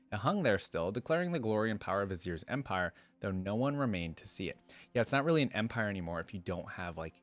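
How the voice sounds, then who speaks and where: A man reading a fantasy story aloud in a measured, dramatic way, with casual reaction asides as a fan video creator. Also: on the low side.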